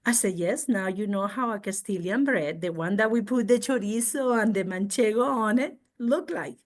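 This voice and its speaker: A middle-aged woman from the Canary Islands with a Spanish accent, a native Spanish speaker, explaining and demonstrating informally in expressive non-native English. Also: mid-range voice, moderate pace. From Tenerife, Canary Islands, Spain. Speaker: guest craft and culture presenter.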